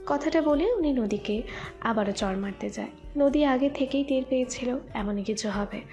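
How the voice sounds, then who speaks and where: A woman's voice: fairly high pitch, normal speed, a little mellow, faint music behind. A woman giving scripted, dramatic narration of a story as its narrator.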